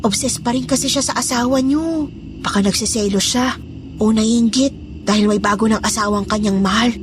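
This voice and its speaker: A woman's voice: a little high, normal speed, background noise, lightly acted. A woman playing a fearful, loyal housemaid in a Tagalog horror audio drama, confiding and deferential as she tells a suspenseful story. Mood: earnest, foreboding. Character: fearful, loyal housemaid revealing dark secrets.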